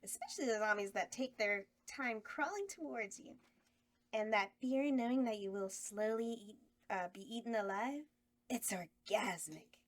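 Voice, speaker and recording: An actress voicing a bawdy, campy horror hostess at a table read, drawling innuendo. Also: female voice, fairly high pitch, moderate pace, lightly acted. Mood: sultry.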